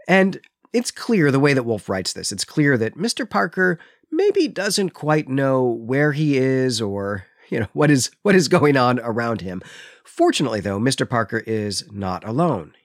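Animated male podcast host, dry close sound. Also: medium pitch, dry recording. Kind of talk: literary recap and discussion.

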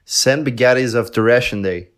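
A man's voice: medium pitch, measured pace. A man joking playfully during a casual podcast chat. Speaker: podcast guest. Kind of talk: casual conversation.

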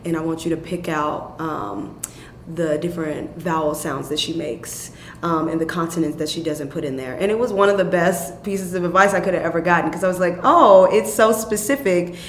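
Quick, lively young actress from Chicago. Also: female voice. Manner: animated, quick, laughing storyteller. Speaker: stage actress. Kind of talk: interview conversation. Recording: echoey room with faint rumble.

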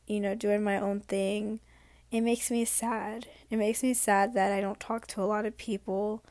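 A sick young woman who is losing her voice talks in a rambling, reflective way in a late-night personal vlog. She is hoarse and sometimes drops into a whisper. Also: medium pitch, little pitch movement, normal speed, a little mellow, dry recording. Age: young adult. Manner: rambling, reflective, many fillers.

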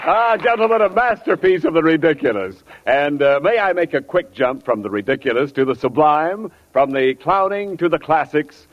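Male American announcer for vintage radio ads: grandiloquent, lively inflection, muffled period sound. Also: on the high side, moderate pace, hardly any pauses, slight background noise. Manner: polished, grandiloquent. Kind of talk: 1940s radio sponsor announcing. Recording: dull vintage 1940s radio recording.

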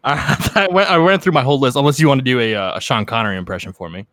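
A man's voice: mid-range voice, very fast, a bit bright, no echo, studio quality. A young man co-hosting a podcast, laid-back, swearing freely and laughing often. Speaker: podcast co-host. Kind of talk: casual podcast chat.

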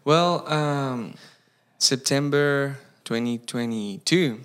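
A man's voice: medium pitch, takes it slowly, a bit bright, clear, resonant tone. A man from Costa Rica with a Spanish accent, a campus pastor telling his story in a casual interview, who speaks earnestly and restarts phrases as he searches for English words. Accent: Costa Rican Spanish.

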